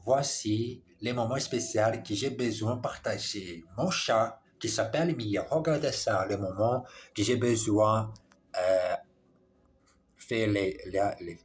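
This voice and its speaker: A man's voice: on the low side, slow pace. A man practicing French on a phone camera, repeating and correcting himself as he reads dialogue lines aloud. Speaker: language learner. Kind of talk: casual language practice vlog.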